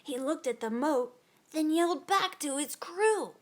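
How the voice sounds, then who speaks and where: A woman's voice: sits high, expressive, unhurried. A woman hosting a read-aloud show for children, narrating storybooks in a theatrical, playful and exaggerated way.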